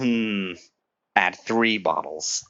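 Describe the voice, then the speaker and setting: A man's voice: lowish voice, very slow, pushed and bright, clear, resonant tone, muffled recording. A man giving casual live commentary while streaming a game.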